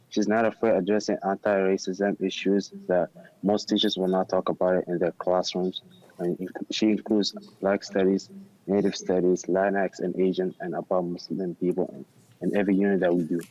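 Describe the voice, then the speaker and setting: Deep, flat-voiced teenage boy testifying. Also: muffled recording. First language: Arabic. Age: teens. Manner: earnest, careful reading with small restarts. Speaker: student testifier. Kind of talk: reading prepared testimony. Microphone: remote call.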